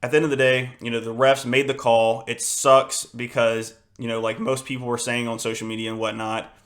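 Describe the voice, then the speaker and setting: Young guy, hoarse, kinda losing his voice, flat monotone rambling about football, lots of ums. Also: medium pitch, brisk. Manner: rambling, frustrated, many fillers and restarts. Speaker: podcast host. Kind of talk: casual solo sports podcast.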